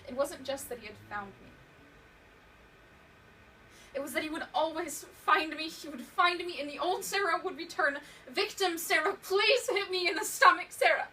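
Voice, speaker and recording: A woman acting a haunted young survivor of abuse in a dramatic stage monologue, halting and emotional, repeating words for emphasis. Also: fairly high pitch, natural voice. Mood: rising panic. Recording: distant mic, clearly noisy room recording.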